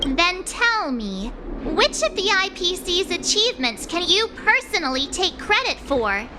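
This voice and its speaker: Very high-pitched spirited anime heroine girl. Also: big pitch swings, unhurried, noisy background, full character voice. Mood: pointed. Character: cheerful, spirited young heroine girl, eager swordswoman. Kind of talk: anime game voice acting.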